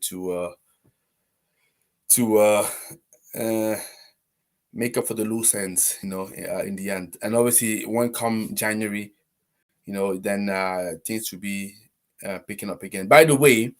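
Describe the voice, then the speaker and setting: Rambling low-voiced man on a live stream. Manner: rambling, with many fillers and restarts; friendly, encouraging, addresses viewers directly. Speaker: host of a live business stream. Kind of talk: casual live-stream talk to viewers.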